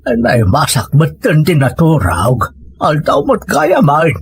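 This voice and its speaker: A woman's voice: deep voice, huge pitch swings, slow, breathy edge, no echo, clean studio sound, full character voice. A woman with a Filipino accent playing a grumbling old village father in an Ilocano audio comedy drama.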